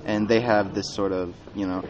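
Young man, low voice, explaining patiently. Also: little pitch movement, moderate pace, darkish tone, slight background noise, low-quality recording. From Minnesota, USA. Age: late teens. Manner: explanatory, thoughtful, with some restarts. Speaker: traveler in conversation. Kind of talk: casual chat. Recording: busy street crowd behind.

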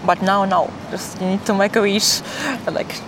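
Young woman, Russian accent, animated casual storyteller, recorded outdoors amid loud city traffic noise. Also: mid-range voice, moderate pace. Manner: animated, giggly, uses filler "like" heavily. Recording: noisy city street with traffic.

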